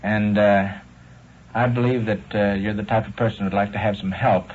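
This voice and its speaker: An American man speaking as a police lieutenant, patient and polite, with halting, official phrasing in a station room. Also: fairly low pitch, steady tone, a little mellow. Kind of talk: calm interviewing, official. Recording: noisy, dull 1950s field radio recording.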